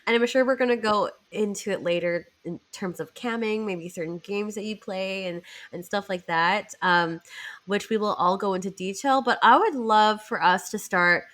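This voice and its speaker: A woman's voice: medium pitch, normal speed, fairly bright tone. A woman hosting a podcast in a casual, bubbly, giggly way, her nose stuffed up from a cold. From Canada. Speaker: podcast host.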